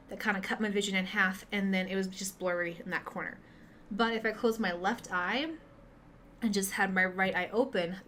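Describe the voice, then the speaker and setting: A woman's voice: mid-range voice, a bit bright, a little hiss or hum. Nasal, stuffy-nosed young woman chatting. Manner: chatty, candid, laughs at herself. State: stuffy nose, sounds nasal. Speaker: gamer and streamer. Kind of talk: casual chat to viewers. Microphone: webcam.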